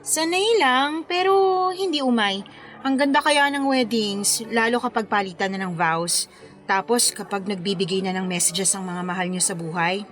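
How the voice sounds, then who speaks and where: A woman's voice: medium pitch, moderate pace, a bit bright, natural voice. A woman with a Filipino accent playing a patient, good-humoured wedding planner in a radio drama, friendly and lightly joking. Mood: friendly, chatty.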